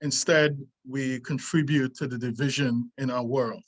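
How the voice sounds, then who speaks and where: A man, reading a prepared essay aloud in an earnest, deliberate way, pausing and going back over lines. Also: mid-range voice, steady tone. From Trinidad. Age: 60s. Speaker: martial arts teacher.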